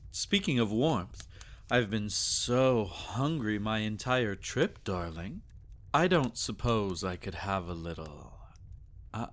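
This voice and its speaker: Man as a slow, low-voiced vampire lord lover. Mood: tender, content. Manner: intimate, teasing, soft chuckles and sighs. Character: male vampire lord, teasing, doting, possessive lover. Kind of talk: ASMR romantic roleplay audio drama.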